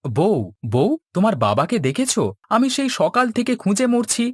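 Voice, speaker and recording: A man's voice: high voice, sing-song, moderate pace, dry recording, studio quality. A man voicing a whiny, greedy, talkative young son-in-law who complains, in a folk-tale cartoon. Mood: pestering. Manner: whining, complaining. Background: background music.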